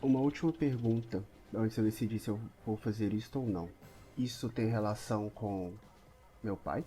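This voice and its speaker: A man playing a wary, brooding reluctant hero who questions others in a halting, serious, challenging way in a tabletop audio drama. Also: lowish voice, warm tone, lightly acted. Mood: guarded, serious.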